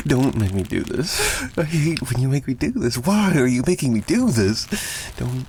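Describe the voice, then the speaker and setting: A man's voice: on the high side, slight background noise, exaggerated voice. A man playing an obsessive, menacing mafia kidnapper in an ASMR roleplay, possessive and teasing, with a taunting delivery that swings between murmurs and giggles. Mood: bitter, pleading. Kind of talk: dramatic ASMR roleplay monologue.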